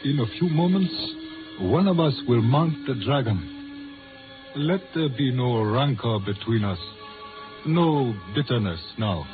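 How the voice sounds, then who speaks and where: Slow, solemn Chinese elder, old radio. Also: male voice, mid-range voice, expressive, music in the background. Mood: solemn, commanding. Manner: formal, grave, measured phrasing. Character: solemn Chinese elder, restaurateur and tong leader. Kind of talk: 1950s radio drama acting. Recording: muffled, noisy 1950s radio recording.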